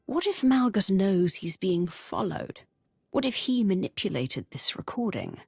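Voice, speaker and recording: An actress playing a ruthless, decisive Sith leader in a video game, husky and commanding with clipped phrasing. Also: female voice, mid-range voice, very animated, a little slow, darkish tone, no room sound, studio quality, heavily acted. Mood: wary, decisive. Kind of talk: video game cutscene acting.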